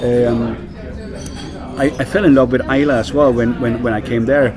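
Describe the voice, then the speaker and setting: A man's voice: mid-range voice, fairly even intonation, moderate pace, crowd noise. A Danish man working as a whisky brand ambassador, speaking English in a casual interview, with many fillers and repeated words. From Denmark.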